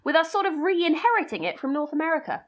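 A woman's voice: sits high, sing-song, fast. A British woman co-hosting a folklore podcast, mixing scripted narration with dry, playful banter. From Britain. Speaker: podcast co-host.

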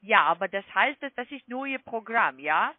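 Woman, high pitch, foreign accent in German, non-native, insistent call-centre sales agent, muffled phone sound. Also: expressive, normal speed, a crowd in the background. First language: not German. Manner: insistent, repeated tag questions. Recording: muffled tinny phone line.

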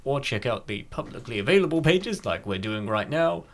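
A man's voice: medium pitch, big pitch swings, moderate pace. A man hosting a comic dub, giving introductions and commentary.

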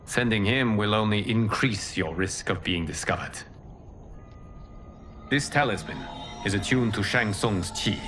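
Calm wise guardian god, lowish voice, giving orders steady, loud music under. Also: male voice. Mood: commanding. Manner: calm, measured, authoritative. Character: calm wise male protector god, commanding. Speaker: video game character. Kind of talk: game cutscene acting. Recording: game audio with loud score.

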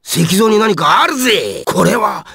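Raspy, high-strung raging villain. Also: male voice, lively intonation, unhurried, sharp tone, dry recording, studio quality, full character voice. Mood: scheming. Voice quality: raspy, gravelly. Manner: coarse, aggressive, snarling delivery. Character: hot-tempered male ice-wielding villain, ranting and menacing. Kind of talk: anime video game voice acting.

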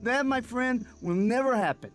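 High-pitched, cocky young man. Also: normal speed, a bit bright, soft background music. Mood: defiant. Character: cocky, scoffing young man who drinks and does drugs. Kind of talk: radio drama acting.

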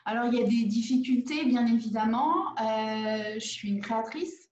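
A woman in her forties speaks over a webcam from her living room. She pauses often and restarts her sentences. Her voice is dark and mellow and a little high, and the call audio sounds dull and roomy. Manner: hesitant, many fillers and restarts. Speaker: independent perfumer. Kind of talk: conference video call interview. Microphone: laptop webcam on a video call.

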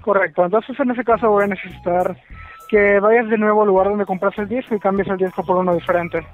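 A man's voice: high voice, steady tone, fairly quick. A man working as a customer service agent on a phone call, courteous and procedural. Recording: phone call, music behind.

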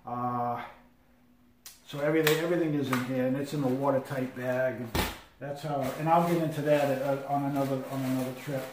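A man's voice: fairly high pitch, slight background noise. Man, 50s, rambling gear talk, echoey and distant from the camera. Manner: rambling, halting with many fillers and restarts. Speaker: hiker showing gear. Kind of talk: casual gear walkthrough. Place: sunny living room with big windows. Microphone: camera across the room. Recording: roomy, from far off.

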